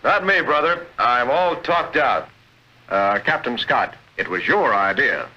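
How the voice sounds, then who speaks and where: A man's voice: expressive, unhurried. A man narrating a 1940s pilot training film in a folksy, matter-of-fact way, talking like an experienced flight instructor. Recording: muffled old film soundtrack with loud engine noise. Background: aircraft engine rumble.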